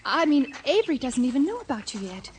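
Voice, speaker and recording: Anxious, pleading sister, high pitch, swooping inflection, halting delivery, vintage radio drama. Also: female voice, sing-song, darkish tone, slight background noise. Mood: flustered. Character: anxious, pleading, flustered younger sister, grown woman. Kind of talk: 1940s radio drama acting.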